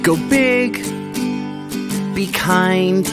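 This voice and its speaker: A woman's voice: lowish voice, very slow. A woman singing a simple children's sing-along song. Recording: music throughout behind the voice. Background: guitar music.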